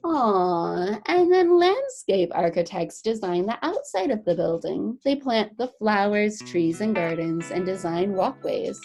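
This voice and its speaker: Animated woman reading aloud to children. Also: medium pitch, a little slow, few pauses, soft background music. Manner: animated, encouraging, explains things simply to children. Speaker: children's teacher. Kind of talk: picture book read-aloud for young children.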